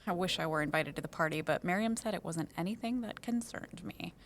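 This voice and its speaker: An actress voices a friendly, curious woman colleague in an audio drama. Her voice is a little lower than most women's, chatty and natural. Faint ambient noise sits behind her. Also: brisk, natural voice. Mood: friendly, gossipy.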